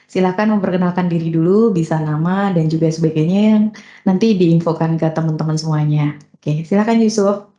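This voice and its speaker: Young woman hosting a chatty podcast, lowish voice, echoey room. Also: fairly quick, mellow, muffled recording. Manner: chatty, many fillers and "gitu ya". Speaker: podcast host. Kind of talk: casual podcast interview.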